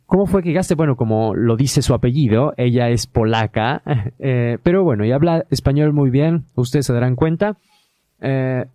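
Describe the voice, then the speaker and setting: A man with a Mexican accent hosting a podcast, speaking at an easy pace with frequent "eh" pauses. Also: mid-range voice, mellow, no echo, clean studio sound. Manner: hesitant, many filler "eh" pauses. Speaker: podcast host.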